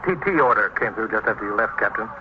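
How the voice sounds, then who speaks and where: An actor playing a brisk, put-upon American police desk sergeant with a clipped, blunt routine manner in an old-time radio drama. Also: male voice, lowish voice, expressive, lightly acted. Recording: muffled noisy old radio recording.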